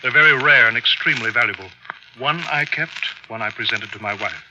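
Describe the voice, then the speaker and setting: An actor playing a suave professor and explorer, a smoothly sinister husband, in a theatrical, courtly, unctuous old-time radio manner. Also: male voice, medium pitch, brisk, dull audio, heavy background noise, close to an everyday voice. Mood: grave, dramatic. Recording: old radio drama, band-limited.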